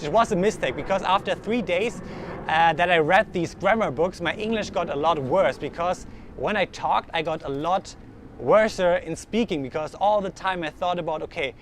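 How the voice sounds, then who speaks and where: Young German-accented man, slightly high voice, earnest vlogger thinking aloud; outdoor camera mic, faint street noise. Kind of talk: casual talk to camera. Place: outdoors in the sun, urban street.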